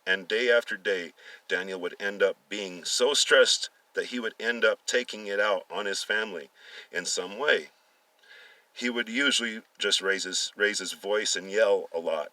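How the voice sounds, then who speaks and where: Bright-voiced man reading aloud, off the mic. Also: fairly low pitch, dry recording, recorded from a distance, poor audio. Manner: halting, stumbles and repeats words. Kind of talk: dramatic story reading with acted dialogue.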